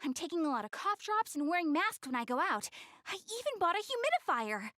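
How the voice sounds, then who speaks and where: A woman playing a proud, self-conscious young goddess girl in an anime-style game, expressive, easily flustered and earnest. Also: very high-pitched, fairly quick, no room sound, clean studio sound, full character voice. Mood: bright, excited.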